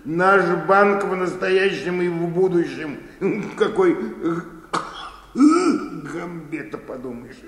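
An actor playing a worn-out, hot-tempered old bank bookkeeper who grumbles and mutters to himself in a radio play. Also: male voice, sits high, animated, slow. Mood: sour muttering. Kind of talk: theatrical radio play acting. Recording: echoey, reverberant studio hall.

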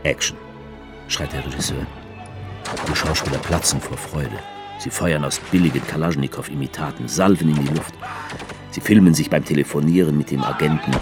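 A man's voice: rumbling low, fairly even intonation, moderate pace. An actor playing a grim male writer, narrating a radio drama in a stark, incantatory recitation. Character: grim male writer recounting a staged war. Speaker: author character narrating. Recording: music under the voice.

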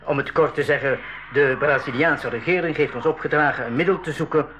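A man's voice: a little high, brisk, background noise, lightly acted. A man playing an earnest, proud scientist in a school radio drama, explaining things articulately. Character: earnest, proud male scientist leading jungle expedition.

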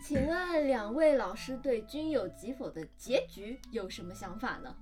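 A woman co-hosts a relaxed group interview, putting questions to the guests. Her voice is somewhat high, and soft music plays in the background. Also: normal speed. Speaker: co-host. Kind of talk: casual chat, asking questions.

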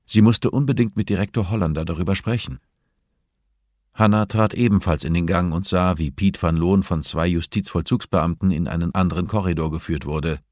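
A man narrates a novel in a low-pitched voice. The recording is dry and close, with hardly any room sound around his scripted reading. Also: brisk, a little mellow, no room sound. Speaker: audiobook narrator.

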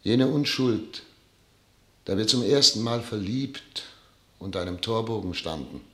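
A brooding, troubled excellency in a radio play. His voice is low and slow, solemn and deliberate, ringing in an echoing room. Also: sharp tone, heavily acted. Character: brooding, troubled male dignitary addressed as Excellency. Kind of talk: German radio play acting. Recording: echoing, roomy studio sound.

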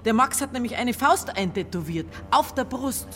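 A woman's voice: a little high, expressive, normal speed, music in the background, lightly acted. A woman playing a blunt, unsentimental widow in a radio drama, with a Bavarian accent and earthy, colloquial speech. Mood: matter-of-fact, scornful. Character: blunt, matter-of-fact widow of a murdered small-town man. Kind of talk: naturalistic radio drama acting.

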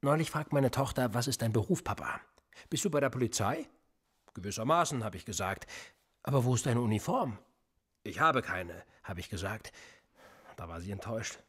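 Police interrogator, husky, calm, needling, radio drama. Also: male voice, mid-range voice, normal speed, long pauses. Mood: cold. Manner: calm, needling, probing questions. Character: male police interrogator, cold, probing. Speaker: police investigator.

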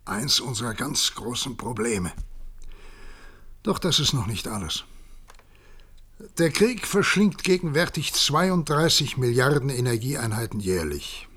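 An actor playing a grave, statesmanlike president in a radio play, speaking in a measured, formal, oratorical way. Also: male voice, fairly high pitch, a bit bright, slightly rough, full character voice. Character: grave statesmanlike male president of future humanity. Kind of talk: German radio play acting.

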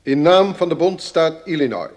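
An actor playing a pompous state governor in a radio drama, solemnly declaiming an official proclamation. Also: male voice, fairly high pitch, expressive, moderate pace, a little mellow, natural voice.

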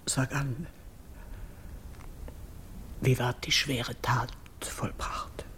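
An actress playing a grave, noble young priestess in a classical verse radio drama, speaking with solemn, measured dignity. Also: female voice, a bass voice, level tone, a bit bright, whispered, full character voice, low-quality recording. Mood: shaken, restrained.

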